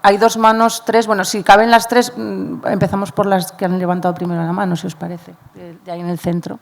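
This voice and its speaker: A woman's voice: medium pitch, flat delivery, fairly quick, vocal fry. A woman moderating a question round in an informal way, thinking aloud as she hands out turns. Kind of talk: casual moderating of a Q&A.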